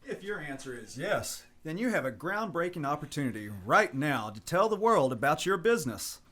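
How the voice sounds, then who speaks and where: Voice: mid-range voice, sing-song, normal speed, fairly bright tone. An announcer reading a sponsorship ad for a community radio program, persuasive and salesy.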